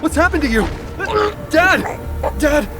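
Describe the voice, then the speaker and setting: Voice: high-pitched, expressive, unhurried, over music. A performer playing a frightened, desperate child in a video game cutscene, pleading urgently. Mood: panicked, desperate.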